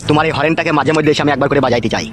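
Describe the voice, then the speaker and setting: A man's voice: fairly high pitch, steady tone, very fast, lightly acted. A man voicing a menacing, lecherous male village thug who taunts with sneering laughs. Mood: leering. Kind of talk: amateur cartoon voice acting.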